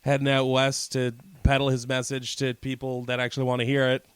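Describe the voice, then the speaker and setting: A man's voice: medium pitch, completely flat delivery, brisk, clean tone, no room sound. Man bantering, dry close sound. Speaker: co-host of a community radio show. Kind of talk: casual local-news banter.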